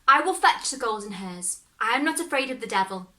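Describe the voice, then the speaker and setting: A woman's voice: fairly high pitch, expressive, brisk, bright, forceful tone. A woman voicing a bold, confident young male fairy-tale hero in a storybook, speaking emphatically. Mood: fearless.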